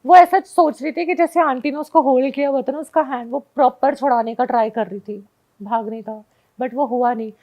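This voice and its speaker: A woman's voice: sits high, brisk, darkish tone. A young woman telling a personal story casually as a guest, mixing English words into her talk. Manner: mixes Hindi with English words. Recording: dull lo-fi recording.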